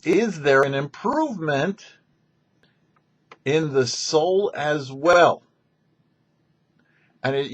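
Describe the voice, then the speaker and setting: A man gives a religious lesson at an unhurried pace, with pitch that rises and falls widely. His delivery is deliberate and instructive, like a rabbi reading prepared teaching. The recording is somewhat dull and muffled. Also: slightly rough. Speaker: rabbi presenting a weekly Torah show.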